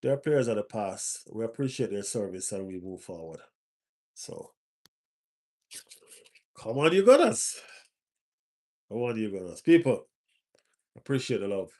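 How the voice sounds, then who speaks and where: A man's voice: on the low side, sing-song, measured pace, long pauses, warm tone. A man with a stuffy nose hosting a casual live stream as a football fan, repeating his phrases for emphasis.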